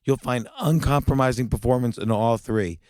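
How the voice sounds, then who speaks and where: A man's voice: medium pitch, normal speed, darkish tone, dry recording. A man giving a polished promotional ad read.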